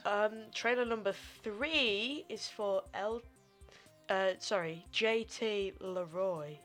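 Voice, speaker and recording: Female podcast co-host: average pitch, dry and blunt, teasing banter, faint music. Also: slow, a bit bright. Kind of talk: casual bantering chat.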